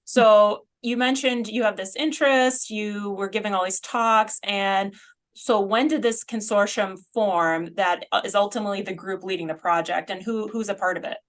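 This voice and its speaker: Woman host, mid-pitched, relaxed, easygoing questions. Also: normal speed. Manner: friendly, casual questioning. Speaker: podcast host. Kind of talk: podcast interview.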